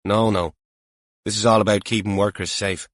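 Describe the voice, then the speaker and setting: A man's voice: lowish voice, fairly even intonation, normal speed, dry recording, studio quality, close to an everyday voice. Man voicing a wry, emphatic police veteran.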